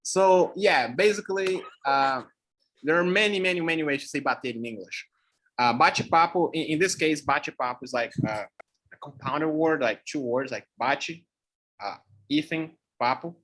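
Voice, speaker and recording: A man's voice: on the high side, normal speed, fairly bright tone, rough recording. A young man whose first language is Brazilian Portuguese, speaking English with a Brazilian accent in a casual chat, thinking aloud and searching for words as he goes. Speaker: co-host teaching expressions.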